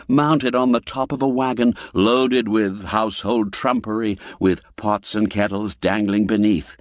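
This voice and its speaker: A man narrating a literary story in a measured, expressive storybook delivery. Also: lowish voice, no room sound, studio quality. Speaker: audiobook narrator.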